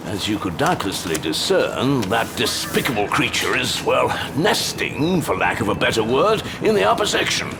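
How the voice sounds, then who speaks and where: An actor playing a pompous, unflappable older British intelligence chief with a gravelly voice and droll understatement, in an audio drama. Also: male voice, medium pitch, very animated, normal speed, few pauses, over music. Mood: genteel, wry.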